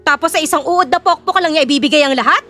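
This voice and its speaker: A haughty, money-hungry mother from an audio drama, acted by a woman. Her voice is high and theatrical, dripping with scorn. Soft music plays behind her. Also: fast talker. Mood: indignant. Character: greedy, haughty scheming middle-aged mother. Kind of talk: audio drama character acting.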